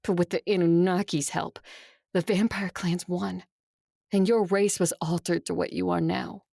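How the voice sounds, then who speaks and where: An actress playing an ancient, earnest and resolute vampire noblewoman in an audio drama, gravelly and husky, speaking in a measured, grave, confiding way. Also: fairly low pitch, fairly even intonation, warm tone, dry recording, clean studio sound. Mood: grave, confessional. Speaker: voice actor and story narrator.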